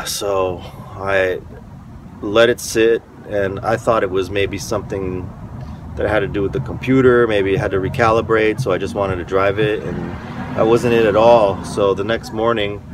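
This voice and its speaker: Deep-voiced stuffy man in windy lot. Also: fairly even intonation, normal speed. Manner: rambling, frank, stop-start. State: stuffy nose, sore throat. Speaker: van life vlogger. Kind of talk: casual vlog rant. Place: parked van outdoors, windy lot. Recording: outdoor wind and traffic noise.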